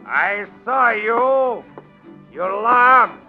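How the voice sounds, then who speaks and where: A blustering American gangster boss who bullies and commands in slang. The voice is pitched strikingly high. It sounds like a muffled 1940s radio drama with faint music. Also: male voice, animated, extremely slow, creaky, exaggerated voice. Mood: threatening. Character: bullying, hot-tempered gangster political boss.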